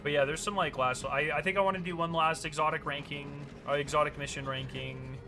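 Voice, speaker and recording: A man's voice: on the high side, fairly even intonation, fairly quick, over music. A man in his late twenties chatting casually on a live stream, rambling and swearing as he reads and answers chat. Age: late 20s.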